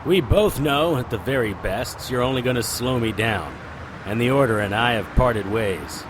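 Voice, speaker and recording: A man voices a cocky private investigator in an audio drama, full of sarcastic wisecracks and quick comebacks. His pitch is ordinary for a man. Traffic noise rumbles clearly behind him. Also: expressive, close to an everyday voice. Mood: wry.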